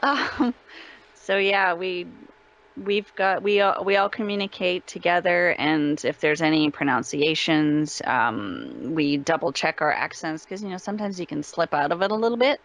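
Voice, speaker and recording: A woman's voice: lowish voice, animated, normal speed, fairly bright tone. A woman speaking casually as an interview guest.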